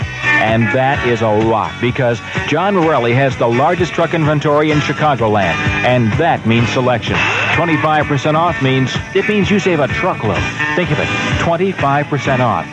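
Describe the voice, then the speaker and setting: A man announcing a punchy, hard-sell 1970s radio advertisement. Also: medium pitch. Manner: punchy, repetitive salesmanship. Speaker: commercial announcer. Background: loud music.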